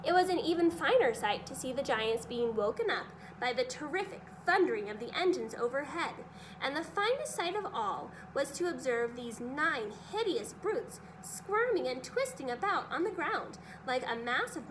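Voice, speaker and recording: A woman reading a children's story aloud as expressive scripted narration. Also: high-pitched, moderate pace, background noise. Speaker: storybook reader.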